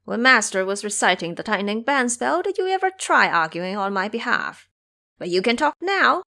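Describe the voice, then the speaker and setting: A woman's voice: on the high side, very animated, normal speed, pushed and bright, full character voice. A woman voicing a bossy, brash male monkey hero in an audio drama, with a commanding manner. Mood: scolding.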